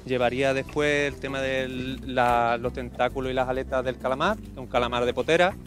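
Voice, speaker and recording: Fast-talking chef, music behind. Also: male voice, medium pitch, fairly even intonation. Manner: explaining steps plainly. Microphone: reporter's handheld mic.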